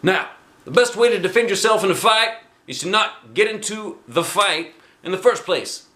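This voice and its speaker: Man acting crazed action-hero coach, storybook character, loud commands, drawn-out words, pitched up, echoey. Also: expressive. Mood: commanding. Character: manic, shouting male action-hero gym instructor. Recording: echoey room.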